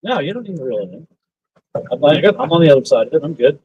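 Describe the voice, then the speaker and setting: A man's voice: on the high side, big pitch swings, fast, husky, dry recording, lo-fi sound. A man chatting and teasing on a pontoon boat while fishing. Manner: teasing, joking. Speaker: fishing companion. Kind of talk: casual chat while fishing. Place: outdoors on a pontoon boat on a lake.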